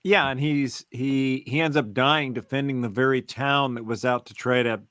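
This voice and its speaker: A man's voice: medium pitch, steady tone, normal speed, fairly bright tone, smooth, dry recording, studio quality. A man co-hosting a podcast in a casual, conversational style. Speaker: podcast co-host. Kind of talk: casual chat.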